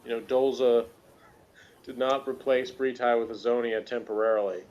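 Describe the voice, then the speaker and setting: A man's voice: medium pitch, flat delivery, normal speed, a little mellow, muffled recording. A man chatting casually as a reviewer, rambling and jokey, with pop-culture asides. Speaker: podcast reviewer.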